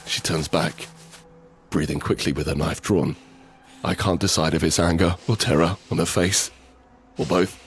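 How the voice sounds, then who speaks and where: An actor playing an injured, desperate father in a first-person audio drama, halting as he speaks. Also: low voice, steady tone, a little hiss or hum, close to an everyday voice. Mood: pained, grave narration. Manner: halting, repetitive, pleading in dialogue. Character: injured, desperate father pleading to save his family.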